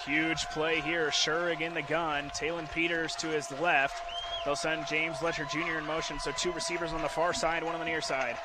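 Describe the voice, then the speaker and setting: A man with a high-pitched voice calls live football on the radio. He is excitable, moving from steady descriptions to shouted calls. The broadcast comes from a stadium outdoors, with clear background noise. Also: normal speed, over a noisy crowd. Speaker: radio play-by-play football commentator. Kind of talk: live sports radio play-by-play commentary.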